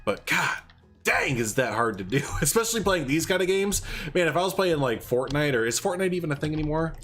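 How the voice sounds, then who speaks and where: A man's voice: fairly high pitch, expressive, fairly quick, fairly bright tone, soft background music. A man casually chatting on a gaming livestream, rambling and thinking aloud, a bit stuffed up. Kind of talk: casual gameplay livestream chat.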